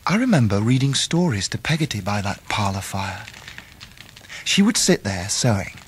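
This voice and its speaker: An actor playing a reflective English gentleman narrating his own life in a radio drama. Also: on the low side, very animated, normal speed, a little hiss or hum.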